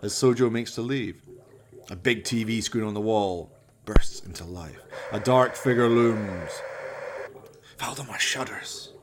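A man's voice: on the low side, a little slow, background noise. A man narrating a comic horror radio play as scripted storytelling, in a melodramatic, mock-suspenseful manner.

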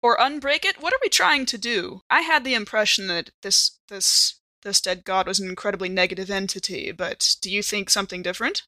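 A woman's voice: mid-range voice, brisk, hardly any pauses, sharp tone, no room sound. A woman improvising casually in character as a player in a tabletop horror game.

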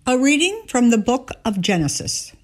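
A woman giving a scripted Bible reading, narrating and voicing the dialogue in deliberate, measured phrasing. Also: on the high side, very animated, darkish tone, faint music behind. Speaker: scripture reader.

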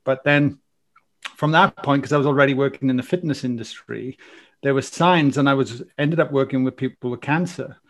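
A man in his forties with a Welsh accent, a podcast guest telling his life story in a lively, rambling way with many restarts. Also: mid-range voice, brisk. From Wales. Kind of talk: casual storytelling interview.

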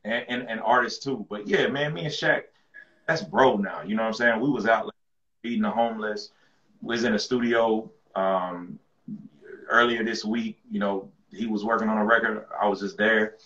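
Man from with a low, deep voice, relaxed slangy guest; muffled lo-fi sound in an echoey room. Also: normal speed. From rural North Carolina. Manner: laid-back, slangy, repeats words as he restarts. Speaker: guest rapper. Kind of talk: casual interview chat.

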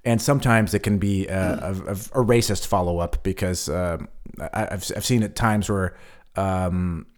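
Casting: American man, podcast co-host, lowish voice, reflective and stammering. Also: moderate pace. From United States. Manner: thoughtful, stammers over starts. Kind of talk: casual chat.

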